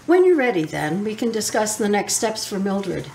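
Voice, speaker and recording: A polite, sympathetic hospital nurse played by a woman in a radio drama. Her delivery is formal and measured, over clear background noise. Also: mid-range voice, very animated, darkish tone. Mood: sympathetic, businesslike. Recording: clearly noisy, clicky recording.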